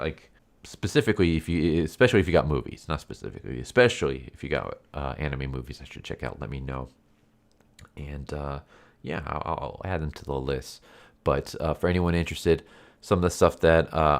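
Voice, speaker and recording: A man with an extremely deep voice talks casually to his viewers as an online reviewer. He sounds congested and nasal, as if his nose is blocked by a cold. His delivery is unscripted and rambling, often repeating and rephrasing his points. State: sick with a stuffed nose and cough. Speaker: YouTube anime movie reviewer and channel host.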